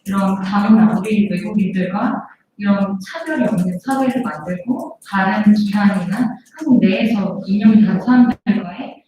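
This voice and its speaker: Fast-talking woman panelist from Texas, mellow tone, earnest, echoey and distant. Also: medium pitch. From Texas, United States. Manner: rapid, earnest talk with "like" fillers. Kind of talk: panel discussion. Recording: echoey and distant with faint noise.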